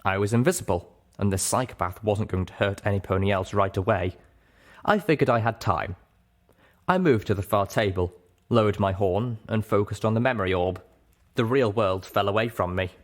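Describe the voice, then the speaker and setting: Male narrator, lowish pitch, scripted audiobook reading, first-person fiction storytelling. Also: moderate pace.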